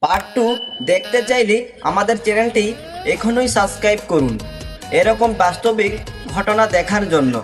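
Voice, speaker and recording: A man giving a soft, upbeat sign-off for his channel. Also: sits high, normal speed, soft background music. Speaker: channel host. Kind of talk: channel outro announcement.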